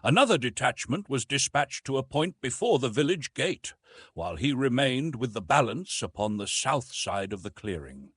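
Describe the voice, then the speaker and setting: A man narrating a classic novel in an expressive, literary storytelling style. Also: medium pitch, normal speed, husky, dry recording. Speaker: audiobook narrator.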